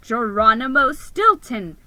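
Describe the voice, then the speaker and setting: A woman's voice: fairly high pitch, very animated, slow pace, bright, forceful tone, dry recording, exaggerated voice. A woman voicing a timid, easily frightened male mouse in a children's storybook, stammering and fretting dramatically. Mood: flustered. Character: timid, panicky male mouse newspaperman, fretful and easily scared.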